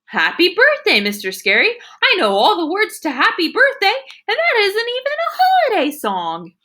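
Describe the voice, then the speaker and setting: A woman's voice: very high-pitched, wildly swooping pitch, normal speed, sharp tone, pitched above the performer's own voice, heavily acted. A woman voicing an eager, guileless, odd schoolboy in a storybook, blurting things out earnestly. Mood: excited.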